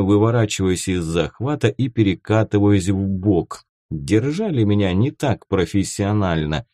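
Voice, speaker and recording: A man narrating first-person fiction from a script as an audiobook, with a dramatic, expressive delivery. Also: fairly low pitch, dry recording, studio quality.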